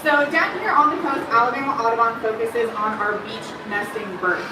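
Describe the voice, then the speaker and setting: A woman's voice: on the high side, normal speed. Woman doing a bird talk to a crowd, lots of ums, echoey room, noisy background. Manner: chatty, asks the crowd questions, many ums; says she has a loud voice. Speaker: bird conservation educator. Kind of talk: public talk to a live audience. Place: room at an aquarium. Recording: echoey room, far from the mic, background noise.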